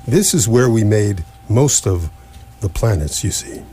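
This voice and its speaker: An actor playing an elderly, courtly alien planet designer in a comic radio drama, husky and rambling with understated, old-fashioned turns of phrase. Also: male voice, fairly low pitch, lively intonation, unhurried, dark tone, slight background noise. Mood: matter-of-fact.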